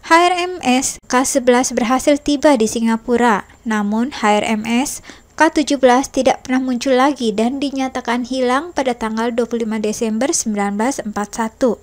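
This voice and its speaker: A woman reading a scripted history narration, working steadily through long lists of names and dates. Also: a little high.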